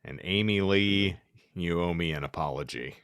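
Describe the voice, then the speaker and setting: A man's voice: deep voice, moderate pace, fairly bright tone, clear, resonant tone, no room sound, clean studio sound. A man co-hosting a casual comedy podcast, wordy, with theatrical mock exasperation. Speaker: podcast co-host and narrator.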